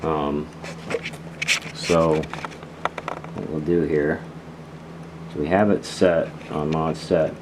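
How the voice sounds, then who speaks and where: Very deep-voiced man, noisy recording. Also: fairly even intonation, takes it slowly, pauses often. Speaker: host of a radio gear channel. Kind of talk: casual product demonstration. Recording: clearly noisy background.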